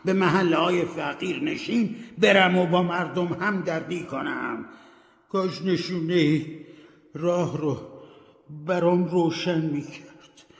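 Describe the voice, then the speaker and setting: A tormented old ghost dragging his chains, as a man puts him on, wailing his regrets. The voice sits raised and gravelly. It echoes through a roomy, reverberant space. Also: on the high side, animated, voice pushed up. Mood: lamenting. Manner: lamenting, declamatory. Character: anguished ghost of a dead old businessman.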